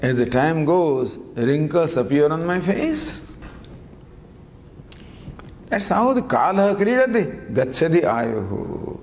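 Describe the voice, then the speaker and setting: Lively man teaching in a reverberant hall. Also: fairly high pitch, slow, creaky, pauses often. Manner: lively, gently humorous teaching with rhetorical questions. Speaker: spiritual teacher. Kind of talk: discourse on scripture. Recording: long hall reverb, faint background noise.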